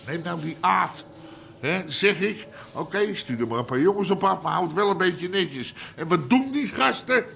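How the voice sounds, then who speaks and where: Gruff Amsterdam crime boss, noisy background. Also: male voice, fairly high pitch, fairly bright tone, full character voice. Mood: musing, aggrieved. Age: middle-aged. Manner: blunt, grumbling street talk. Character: gruff, grumbling old-school Amsterdam underworld boss. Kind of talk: naturalistic radio drama acting.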